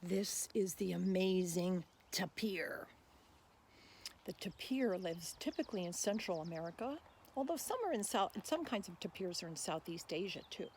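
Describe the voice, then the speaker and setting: A woman reading a picture book aloud for children, expressive, adding explanatory asides. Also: on the low side, moderate pace, a little hiss or hum.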